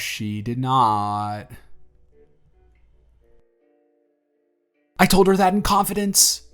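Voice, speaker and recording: A man playing an earnest young single dad in his twenties, talking softly and a little flustered in an audio roleplay. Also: mid-range voice. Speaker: father confessing feelings to the babysitter.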